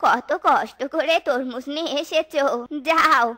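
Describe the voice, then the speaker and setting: A woman's voice: high-pitched, measured pace, pushed and bright. A woman voicing a pushy, falsely sweet older fox mother-in-law in a comic cartoon, coaxing and urging repeatedly. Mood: sugary, pushy.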